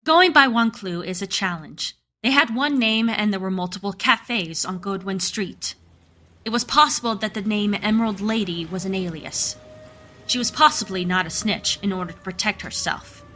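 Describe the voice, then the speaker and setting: A woman's voice: medium pitch, normal speed, bright, forceful tone. Woman narrating a radio play over music. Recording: music playing behind the voice.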